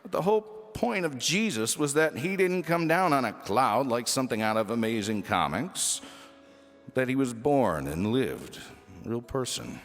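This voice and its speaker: A man reading a humorous children's story aloud expressively, with dramatic pauses and emphasis. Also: medium pitch, lively intonation, big-room sound.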